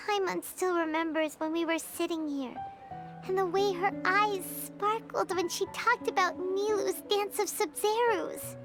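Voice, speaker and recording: A woman voicing a small, excitable, childlike fairy companion in a video game, squeaky and given to exclaiming. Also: unhurried, music in the background. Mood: wistful. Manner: exclamatory, refers to self in third person.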